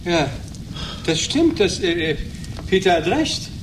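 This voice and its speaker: An actor playing an excitable, flippant middle-aged drinker in a German radio drama, animated and stumbling over his words. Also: high voice, slow, far from the mic, background noise, exaggerated voice. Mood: swaggering.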